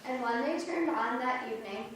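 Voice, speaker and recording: A woman reading funny church stories aloud in reader's theater, telling them in a lively way. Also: on the high side, moderate pace, a little hiss or hum. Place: large church sanctuary. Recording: echoey church from far off.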